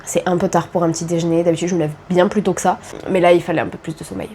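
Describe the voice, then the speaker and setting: A woman's voice: on the low side, flat delivery, rapid-fire, a little mellow, slight background noise. A young woman with a cold, chatting casually to camera about her reading, with self-deprecating asides. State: congested with a cold. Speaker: book vlogger. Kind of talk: casual vlog chat.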